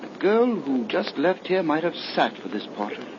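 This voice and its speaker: An actor playing an earnest, courteous young gentleman with polite, formal speech in a 1930s radio drama. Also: fairly high pitch, sing-song, moderate pace, muffled recording. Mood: intrigued. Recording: noisy, band-limited 1930s radio recording.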